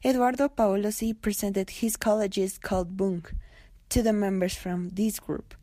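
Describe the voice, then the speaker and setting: A very dry recording of a woman narrating a newsreel. Her tone is dark and mellow at a middling pitch, as she reads a scripted documentary text. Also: steady tone, a little slow, dry recording.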